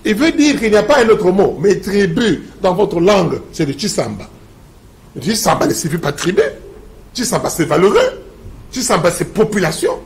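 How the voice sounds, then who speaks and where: Man, professor guest, lectures forcefully with a high, gravelly voice. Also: big pitch swings, moderate pace. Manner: emphatic, lecturing, repeats slogans. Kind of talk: TV debate.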